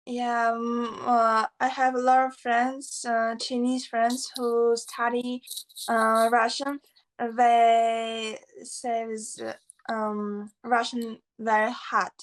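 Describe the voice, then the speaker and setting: Buryat-speaking woman guest, highish flat voice, slow and careful English, video call. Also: poor audio. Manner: slow, simple broken English with fillers. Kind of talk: casual video-call chat.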